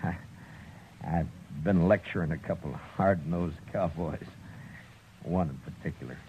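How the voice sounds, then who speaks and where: Low slow-talking western marshal, steady and gruff, dull old radio sound. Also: male voice, slight background noise. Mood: firm. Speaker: actor. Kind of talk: 1950s radio drama acting. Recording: dull vintage 1950s radio recording.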